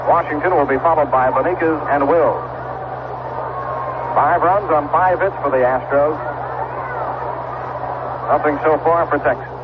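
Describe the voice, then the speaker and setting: A man's voice: a little high, measured pace, long pauses, phone-quality sound. Male baseball announcer on noisy vintage radio. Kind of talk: live radio sports commentary. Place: outdoor ballpark.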